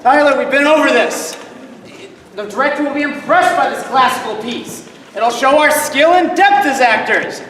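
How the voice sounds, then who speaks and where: A man's voice: extremely high pitch, normal speed, a bit bright, a little hiss or hum. A young man on stage playing a bossy boy actor who recites Romeo in a grandiose, overdramatic way. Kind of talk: amateur stage play. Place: large hall stage. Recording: echoey hall from far off, audience.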